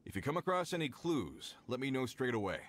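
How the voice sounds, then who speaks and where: A man's voice: mid-range voice, sing-song, brisk, background noise, close to an everyday voice. An actor playing an earnest, worried middle-aged mine foreman who speaks in a grave, plainspoken way. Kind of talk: video game voice acting.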